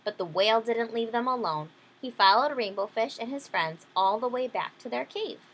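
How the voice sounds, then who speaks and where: Higher-voiced woman reading a picture book, very lively. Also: normal speed, rough recording. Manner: explains words plainly for young children. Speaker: read-aloud storyteller. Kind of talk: children's picture-book reading with simple asides.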